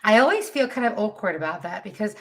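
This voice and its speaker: A woman from New Zealand with a New Zealand accent, a musician chatting as a podcast guest in an animated, laughing way. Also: medium pitch, fast talker, a little hiss or hum. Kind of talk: casual interview chat.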